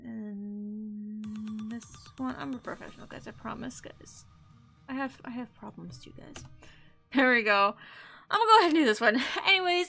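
A woman's voice: a little high, sharp tone. A young adult woman streamer wearing a headset, chatting playfully with her viewers as she signs off. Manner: chatty, playful, addresses chat as guys. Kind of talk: casual stream sign-off. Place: small room indoors.

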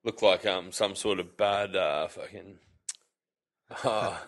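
An Australian man as a podcast guest, talking in a relaxed, rambling way full of fillers. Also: deep voice, slow. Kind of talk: casual chat.

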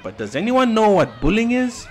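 An actor playing an upbeat, encouraging and instructive youth football coach in an animated comic. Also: male voice, high-pitched, moderate pace, some chatter behind. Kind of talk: animated motion comic acting.

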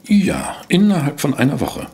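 An actor playing a courteous, inquisitive newspaper reporter in a German audio drama, speaking politely and formally. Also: male voice, on the high side, huge pitch swings, normal speed, a little mellow. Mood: earnest. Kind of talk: German audio drama acting and first-person narration.

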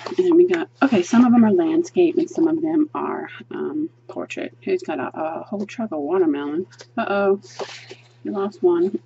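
A chatty woman pages through a coloring book at her table and gushes over each picture, thinking aloud with frequent ums. Her voice sits a little low and mellow. The home recording is dull and lo-fi, with a faint hiss behind her. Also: moderate pace, gravelly. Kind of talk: casual book flip-through review. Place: indoors at a table, hands seen flipping pages.

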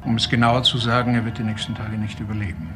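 A man's voice: fairly low pitch, little pitch movement, fast, close to an everyday voice. A man playing a grave, formal hospital professor in a crime drama, speaking matter-of-factly. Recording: clearly noisy background.

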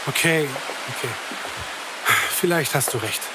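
A man's voice: on the high side, unhurried, very noisy, natural voice. A man playing a nervous young man who stammers and hesitates. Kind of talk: audio drama acting.

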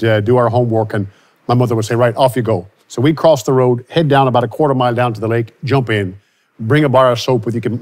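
A man in his forties from Louisiana, a country singer being interviewed, speaking reflectively with frequent restarts, recorded on a studio set with a lapel mic. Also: medium pitch. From Louisiana, USA. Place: studio with starry backdrop.

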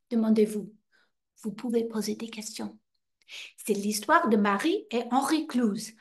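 A woman in her sixties with an American accent, performing a playful monologue as a chatty guide welcoming visitors, with teasing asides. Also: high voice. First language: English. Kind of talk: theatrical monologue screen test. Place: indoor stairwell by a wrought-iron railing.

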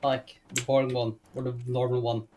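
A man's voice: medium pitch, little pitch movement, normal speed, dark tone, slight background noise. A man chatting casually with another player in an online police roleplay game. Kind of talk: casual gaming chat.

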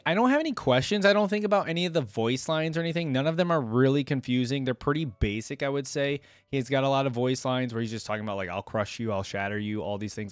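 A man's voice: mid-range voice, dry recording. A man, hosting a reaction video with casual commentary to his viewers. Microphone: close desk microphone.

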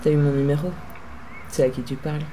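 A woman's voice: low voice, flat delivery, quick pace, warm tone, slight background noise, lightly acted. A woman playing a young, wary victim of phone harassment in an audio drama, curt and suspicious.

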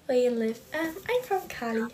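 A woman's voice: a little high, expressive, measured pace, very noisy. A young woman from California hosting a live stream from a phone in a small room, greeting viewers by name and thanking them with frequent giggles. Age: young adult. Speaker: livestream host. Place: small indoor room, in front of a curtain. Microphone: phone camera at close range.

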